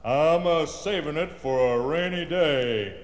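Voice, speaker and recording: A man's voice: a little high, echoey room, big-room sound, music in the background, pitched above the performer's own voice. A man doing a stingy drawling cloud. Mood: reluctant. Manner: drawn-out, reluctant. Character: stingy, slow-talking male cloud.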